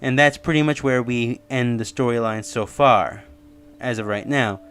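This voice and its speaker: A man's voice: mid-range voice, steady tone, moderate pace, a bit bright, slight background noise. A man giving casual, rambling explanatory commentary as the host of a theory video. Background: music under the voice.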